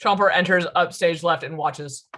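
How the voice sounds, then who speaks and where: A woman's voice: on the low side, moderate pace, pushed and bright. A woman reading stage directions as scripted narration at a table read.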